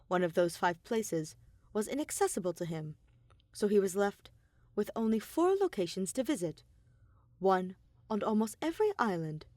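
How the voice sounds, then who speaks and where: A woman reading scripted fiction as an audiobook narrator, with expressive, deliberate storytelling. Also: mid-range voice, dry recording. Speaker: podfic narrator.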